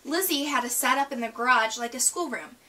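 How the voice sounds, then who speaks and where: Bright, high-voiced woman reading a storybook. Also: fairly quick, far from the mic. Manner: expressive reading, stumbles over words. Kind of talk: read-aloud of a children's picture book.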